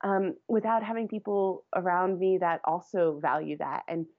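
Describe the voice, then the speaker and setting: A woman's voice: on the low side, steady tone, normal speed, no echo, phone-quality sound. A woman guest in a casual interview, thinking aloud and often restarting and repeating her words. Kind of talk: casual conversation.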